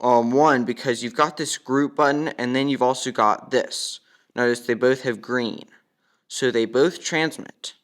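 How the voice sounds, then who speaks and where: A man's voice: mid-range voice, unhurried. A young man giving a casual, matter-of-fact walkthrough as he demonstrates a product.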